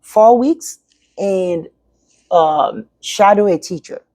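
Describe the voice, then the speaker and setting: A woman's voice: mid-range voice, takes it slowly, mellow, no echo, studio quality. A middle-aged woman from Nigeria whose first language is Yoruba, speaking as an educator guest in an interview and giving earnest advice to parents. She repeats her points for emphasis.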